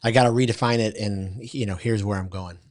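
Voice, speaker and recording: A man's voice: lowish voice, steady tone, fairly quick. A man hosting a podcast, reacting with amazement and stumbling through his questions. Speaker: podcast host. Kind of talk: casual interview conversation.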